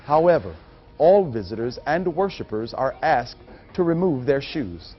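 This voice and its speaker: A man's voice: medium pitch, sing-song, unhurried. A man reading scripted narration for a training film. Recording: muffled audio with music behind. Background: music.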